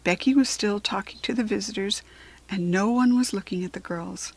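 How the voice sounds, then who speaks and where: A woman's voice: medium pitch, normal speed, a little mellow. A woman with an American accent reading a children's storybook aloud as a narrator.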